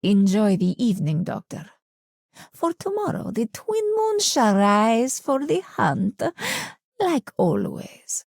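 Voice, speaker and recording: A woman's voice: fairly high pitch, very animated, takes it slowly, a little mellow, no echo, studio quality, heavily acted. A woman playing a theatrical, playful young villainess in a video game, with a dramatic, gasping delivery. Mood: sly, hushed. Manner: dramatic, breathy gasps, playful swings. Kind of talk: video game character voice acting.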